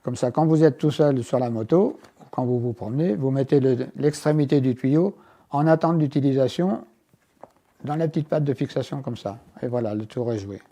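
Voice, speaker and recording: A man's voice: medium pitch, normal speed, dark tone. A man presenting a product as a guest, explaining it hands-on and step by step with small asides. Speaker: inventor and guest demonstrating his product. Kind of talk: hands-on product demonstration in an interview.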